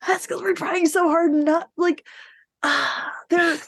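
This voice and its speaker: A woman's voice: extremely high pitch, steady tone, slow, vocal fry, dry recording, clean studio sound. A woman podcast host in a casual book discussion, speaking in a blunt, informal, sweary way.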